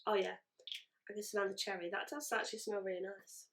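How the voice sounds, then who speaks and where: A woman's voice: medium pitch, flat delivery, fairly quick. A woman chatting casually through a beauty unboxing, sounding nasal from a cold. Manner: chatty, rambling, self-correcting. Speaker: beauty vlogger.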